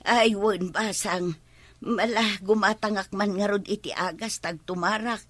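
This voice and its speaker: A woman's voice: medium pitch, steady tone, measured pace, a bit bright, dry recording. A woman with an Ilocano accent acting an older, nagging, long-suffering village wife in a comedy drama, given to scolding and exclaiming. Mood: weary, unwell.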